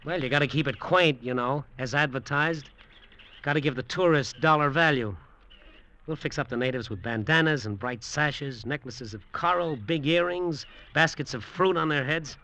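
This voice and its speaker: An actor playing a brash, cocky, short-tempered hotel developer in slangy, emphatic old-time radio drama style. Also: male voice, fairly high pitch, normal speed, bright, forceful tone. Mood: boastful. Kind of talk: old-time radio drama acting with first-person narration. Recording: vintage radio drama, music behind.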